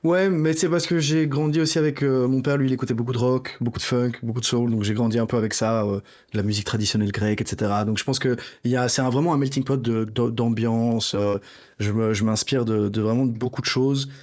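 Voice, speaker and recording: A man's voice: mid-range voice, steady tone, fast, a little mellow. A man in his thirties from Belgium, a Greek speaker, chatting casually as a rapper in an interview. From Belgium, Greek parents.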